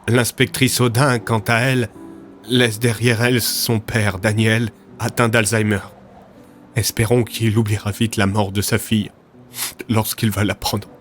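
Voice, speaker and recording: Low-voiced male fiction narrator. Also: level tone, a little slow. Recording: music under the voice.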